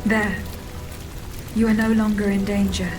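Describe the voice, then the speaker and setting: A woman's voice: mid-range voice, a little slow, music in the background, close to an everyday voice. Actress as cool, composed automaton bodyguard woman. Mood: cool, dutiful. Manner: calm, terse, formal. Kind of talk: dramatic video game cutscene acting.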